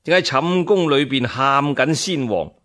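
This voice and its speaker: A man telling a dramatized traditional tale in Cantonese, colloquial and expressive, with asides to his listeners. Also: medium pitch, measured pace. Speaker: solo storyteller. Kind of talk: traditional Chinese radio storytelling, dramatized narration.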